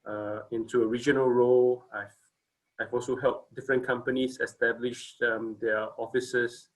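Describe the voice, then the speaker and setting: A man's voice: medium pitch, little pitch movement, moderate pace, poor audio. A man in his forties from Singapore, giving a casual company presentation on a video call, hesitant with many fillers and restarts.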